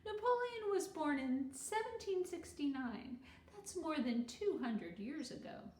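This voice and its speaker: Woman as storybook schoolteacher, patient, orderly, instructive, very varied pitch, roomy sound. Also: sing-song, a little mellow, distant mic. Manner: measured, instructive. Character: patient, orderly female second-grade teacher. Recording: roomy echo with faint noise.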